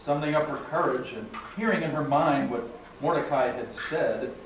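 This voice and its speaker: A man reading scripted storytelling narration for a puppet show in a measured, halting way. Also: a little high. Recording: echoey hall, far off, dull, very noisy. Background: heavy background noise.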